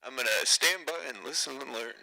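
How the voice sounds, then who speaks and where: A man's voice: medium pitch, quick pace. A man in casual, joking radio chat as a CB operator, teasing and mocking others. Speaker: CB radio operator on a live feed. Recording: noisy live radio feed, clear hiss.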